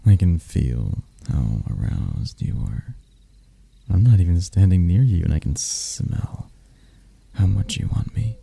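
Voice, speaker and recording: A man acts out a suave male demon prince in an intimate ASMR roleplay, his low voice thick with gravelly fry. He is arrogant and seductive, taking his time over every taunt and sliding toward a breathy murmur. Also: lively intonation, dark tone, heavily acted. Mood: seductive, smug. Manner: smooth-talking, taunting, unhurried, dropping into whispers. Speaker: voice actor.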